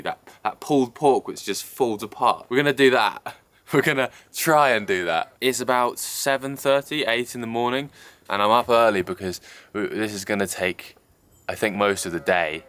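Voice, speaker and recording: A man's voice: fairly low pitch, fairly bright tone. Chatty young man vlogging from a cabin. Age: young adult. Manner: chatty, self-deprecating, explains as he goes. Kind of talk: casual vlog narration. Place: snowy mountain cabin, indoors and out. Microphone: handheld camera at arm's length.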